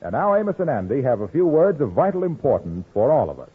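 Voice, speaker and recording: Vintage male commercial announcer, hugely lively intonation, husky edge, muffled broadcast. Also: medium pitch, fast. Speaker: radio announcer. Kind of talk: 1940s radio commercial announcing. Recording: muffled vintage radio, faint music.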